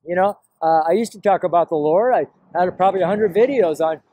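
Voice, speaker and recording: American man, high-pitched voice, middle-aged or older, rambling emphatic street preaching, repeated phrases, faint outdoor noise. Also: quick pace, warm tone. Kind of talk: informal testimony and preaching to camera. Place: outdoors, park path beside a road.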